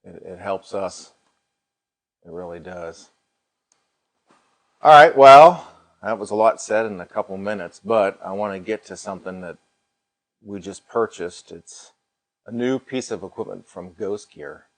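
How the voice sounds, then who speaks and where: This is a middle-aged American man with a fairly low voice. He hosts a casual livestream, showing off a product as he talks. His manner is folksy, and he tends to circle back and repeat his points. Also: measured pace, pauses often, low-quality recording. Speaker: host of a paranormal livestream.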